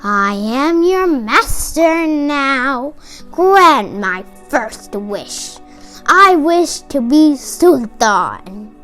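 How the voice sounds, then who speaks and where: A woman voicing a power-hungry, gloating male sorcerer in a storybook, with a commanding, drawn-out delivery. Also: high-pitched, very animated, a bit bright, faint music behind, heavily acted. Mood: commanding, greedy.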